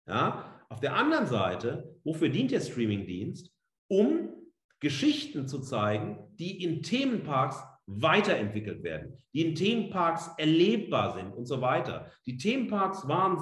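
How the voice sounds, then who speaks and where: A middle-aged man giving a free-spoken lecture as a professor, emphatic and colloquial, with rhetorical questions. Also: a little high. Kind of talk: public lecture, spoken freely. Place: indoor room, echoey. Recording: echoey room sound.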